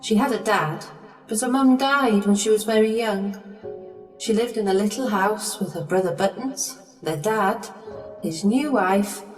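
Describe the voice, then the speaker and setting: A woman's voice: mid-range voice, a little mellow, lightly acted. A woman playing a kindly, motherly fairy godmother who tells a pantomime story directly to children. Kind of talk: pantomime narration and acting. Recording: long hall reverb, distant, over music.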